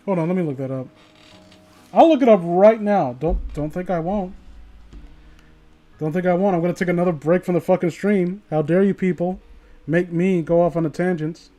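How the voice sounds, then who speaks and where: High-voiced, tipsy male livestreamer, rambling. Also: normal speed, long pauses, dark tone. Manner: rambling, profane, opinionated. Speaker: livestreamer reading online horror stories. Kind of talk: casual rambling livestream chat and story reading.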